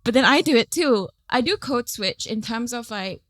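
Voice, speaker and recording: A woman's voice: fairly high pitch, animated, moderate pace, fairly bright tone, dry recording. Chatty woman from Singapore. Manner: chatty, frequent filler "like". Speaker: podcast guest. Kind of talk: casual chat.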